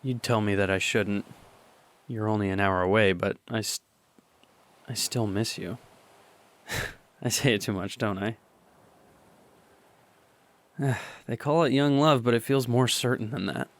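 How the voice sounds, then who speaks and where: A young man acting a lovesick, anxious teenage high school boy in an audio drama monologue, speaking haltingly and confessionally. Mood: wistful, tender.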